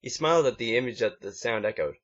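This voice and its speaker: A man reads fan fiction aloud as an amateur narrator, with a middle-pitched voice. He stumbles over words and restarts as he goes. The recording is muffled and lo-fi. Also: animated, quick pace. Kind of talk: scripted story narration.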